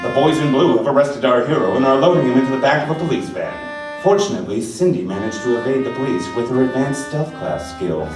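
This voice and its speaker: A man's voice: mid-range voice, lively intonation, fairly quick. A man narrating a mock radio serial in a dramatic style. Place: rehearsal hall before a live audience. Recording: very echoey hall, distant, music behind.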